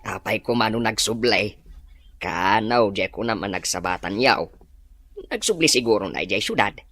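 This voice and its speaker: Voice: mid-range voice, expressive, normal speed, a bit bright, no room sound. A performer playing a practical, dismissive parent advising a grown child in a radio drama.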